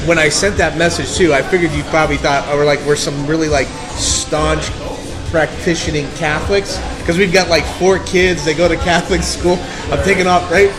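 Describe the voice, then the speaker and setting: A man's voice: on the high side, fairly even intonation, normal speed. Rambling middle-aged male host, music behind. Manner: friendly, rambling, laughs easily. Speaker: show host. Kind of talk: casual chat.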